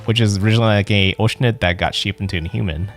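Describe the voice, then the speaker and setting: A man's voice: lowish voice, racing. A man giving casual let's play commentary as a streamer, musing in a rambling, questioning way. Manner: rambling, musing, often ends on a questioning "right?". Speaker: streamer playing a game. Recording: game music behind the voice.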